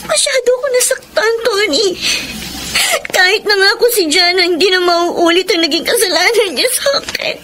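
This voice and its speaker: High-voiced, heartbroken Filipina girlfriend. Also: normal speed, bright, forceful tone, slight background noise. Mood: troubled. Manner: emotional, melodramatic. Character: hurt, betrayed young girlfriend telling her love story. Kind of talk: Filipino radio drama acting and narration. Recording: music bed under the voice.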